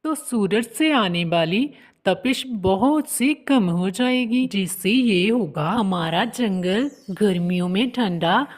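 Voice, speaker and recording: A woman voicing a kind, helpful young male bird in a children's cartoon, talking in a gentle, earnest, explanatory way. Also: medium pitch, animated, slow. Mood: earnest, explaining. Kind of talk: children's cartoon story dialogue.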